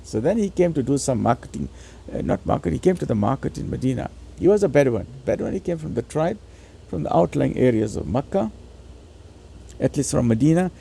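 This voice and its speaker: A man giving an informal religious lecture in a husky, gravelly voice, teaching emphatically and conversationally with repeated phrases. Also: medium pitch, very animated, a little fast, dark tone, a little hiss or hum.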